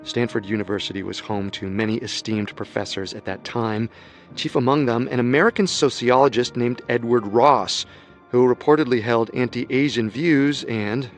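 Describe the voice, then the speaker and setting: A man narrating a true-crime radio drama in a grave, ominous scripted style. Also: mid-range voice.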